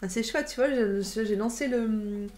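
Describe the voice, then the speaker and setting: A woman's voice: fairly high pitch, normal speed, a little mellow. A woman in her late thirties co-hosting a casual live stream, chatting and reacting to viewer messages. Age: late 30s. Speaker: livestream co-host. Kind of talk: casual livestream chat. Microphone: webcam livestream.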